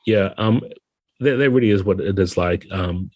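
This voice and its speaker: A man's voice: low-pitched, moderate pace, a little mellow, dry recording. A man speaking as an interview guest, thoughtfully explaining game design in a conversational way.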